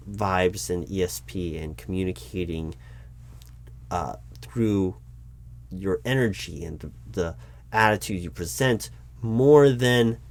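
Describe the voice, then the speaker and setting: A man doing a roleplay as a job interviewer, talking in a deliberate, dry way with frequent ums. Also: low voice. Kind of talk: scripted ASMR roleplay.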